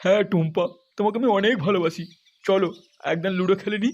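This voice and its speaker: Man playing a coaxing, high-voiced cartoon lover. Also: fast, highs cut off, loud music behind the voice, close to an everyday voice. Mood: coaxing, amorous. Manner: coaxing, sweet-talking. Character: smooth-talking secret lover, coaxing and cajoling. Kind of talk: crude comedy cartoon voice acting.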